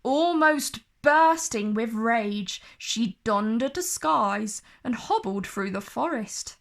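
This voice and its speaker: Female children's narrator: expressive story read-aloud, unhurried and deliberate, higher register.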